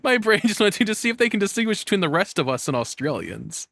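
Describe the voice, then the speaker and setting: A man's voice: high voice, sing-song, fast talker, creaky, a bit bright, no echo. Rambling, joking man in a dry room. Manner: rambling, joking, exasperated, swearing. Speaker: reaction streamer. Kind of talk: casual off-the-cuff reaction commentary.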